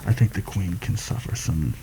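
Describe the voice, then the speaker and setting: A man acting out a seasoned rebel assassin in an ASMR fantasy roleplay. The voice is low and creaky, kept soft and measured, with a wry, conspiratorial manner. There is clear noise behind it. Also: lively intonation. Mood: dry, conspiratorial. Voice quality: gravelly, creaky. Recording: noticeable background noise.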